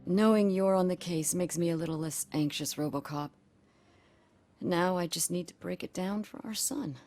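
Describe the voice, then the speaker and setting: An actress playing a worried, bitter wife in a video game, talking in a blunt, plain-spoken way. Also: female voice, lowish voice, normal speed, a little mellow, natural voice. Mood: anxious, sad.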